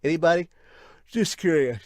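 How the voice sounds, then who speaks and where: A man's voice: a little high, moderate pace, dry recording. A man hosting a manga review, reading aloud and commenting casually as he goes. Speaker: manga reviewer and podcast host.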